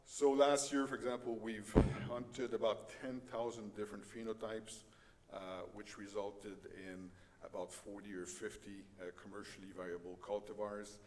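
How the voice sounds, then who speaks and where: A middle-aged man with a French Canadian accent gives a conference talk in a fairly low voice. He pauses often on fillers and jokes at his own expense. The sound carries a long hall reverb with faint background noise. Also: level tone, darkish tone. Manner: halting, many fillers, light self-deprecating humor. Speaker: conference speaker. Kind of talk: conference presentation.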